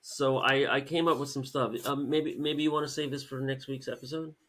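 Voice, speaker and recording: A man's voice: medium pitch, fairly even intonation, brisk, crowd noise. A man co-hosting a podcast about comics, talking in a casual, conversational way. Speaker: podcast co-host and comic writer.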